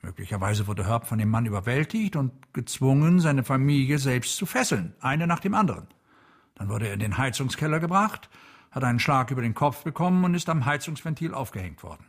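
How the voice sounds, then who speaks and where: Sober lead investigator, husky mid-range voice, weary, measured, radio drama. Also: male voice, medium pitch, big pitch swings. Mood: grave, reasoning. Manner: measured, reasoning, grave.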